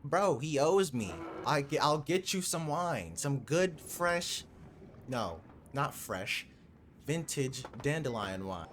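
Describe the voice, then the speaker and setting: A man's voice: on the high side, animated, slow pace, a bit bright, a little hiss or hum. A young man giving casual gameplay commentary, slangy and reactive with mock outrage. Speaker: gaming video creator.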